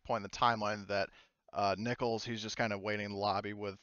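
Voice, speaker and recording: A man running a tabletop game, improvising narration in a casual, halting, meandering way. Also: on the low side, little pitch movement, a bit bright, clear, resonant tone, no echo, muffled recording. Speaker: game master.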